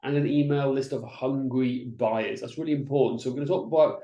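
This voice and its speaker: A man's voice: mid-range voice, brisk, muffled recording. Chatty man teaching in an echoey room. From Wales. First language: Welsh. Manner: energetic, chatty, with frequent restarts and "right?" checks. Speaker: business coach presenting a webinar. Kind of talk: presenting slides in an online masterclass.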